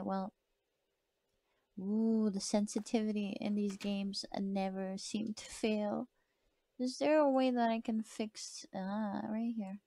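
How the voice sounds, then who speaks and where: A woman streamer with a soft voice, chatting casually as she plays a horror game and reads its lines aloud. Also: mid-range voice, no room sound. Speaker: gaming streamer. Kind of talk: casual let's-play commentary.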